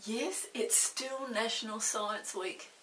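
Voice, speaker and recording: Woman in her 60s, children's storytime reader, gentle, slow, whispers at times, roomy room sound, faint hiss. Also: on the high side, far from the mic. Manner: gentle, often whispering to a puppet. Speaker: storytime host reading to children. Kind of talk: children's picture-book reading. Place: indoor room with a storybook set.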